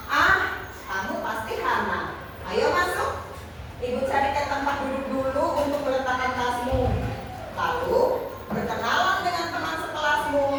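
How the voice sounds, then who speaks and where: A woman reading a children's story aloud, slowly and deliberately, with expressive phrasing. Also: on the high side. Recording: echoey hall, distant mic, noisy.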